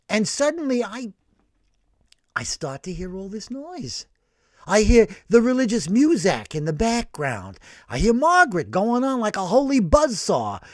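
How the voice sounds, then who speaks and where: A man voicing a brash, excitable older working-class man who tells his story in animated slang. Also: high-pitched, dry recording, studio quality.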